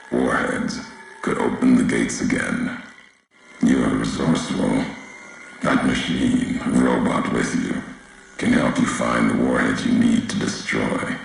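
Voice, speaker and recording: An actor playing a grim, brooding wasteland courier in a video game, speaking in terse, deliberate, ominous fragments with a gravelly voice. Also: male voice, fairly even intonation, very echoey, slight background noise, heavily acted, low-quality recording. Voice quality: gravelly, very deep, breathy. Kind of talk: slow, brooding dramatic monologue.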